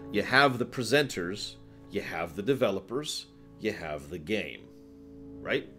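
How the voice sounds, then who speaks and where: A man's voice: lowish voice, expressive, a little slow, pushed and bright, faint music behind. A man hosting a livestream, chatting casually and opinionatedly with his viewers in an emphatic, conversational way. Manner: emphatic, conversational, breaks off to address chat. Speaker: livestream host.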